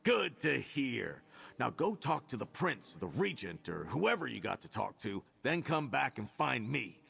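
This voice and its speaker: Hearty male comrade-in-arms for a fantasy game, heavily raspy, bright and forceful. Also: mid-range voice, big pitch swings, unhurried, full character voice. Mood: hearty, relieved. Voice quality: gravelly, raspy. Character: hearty, battle-worn male comrade-in-arms, friendly and plain-spoken. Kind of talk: fantasy video game dialogue.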